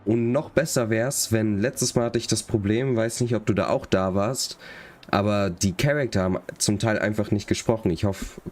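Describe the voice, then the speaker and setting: A man's voice: lowish voice, normal speed, a little mellow. A man casually commentating on a live game stream, sounding slightly under the weather. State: slightly sick.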